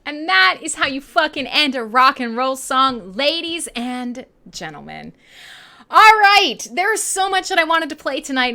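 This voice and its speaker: A Canadian woman hosting a live radio show with casual, irreverent chat, animated and slangy with some swearing. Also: high-pitched.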